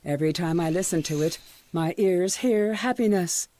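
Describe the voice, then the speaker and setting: An actor playing an eerily upbeat, controlling radio station announcer, delivering polished, deliberate promos. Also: male voice, high-pitched, mellow, lightly acted. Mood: unsettlingly happy.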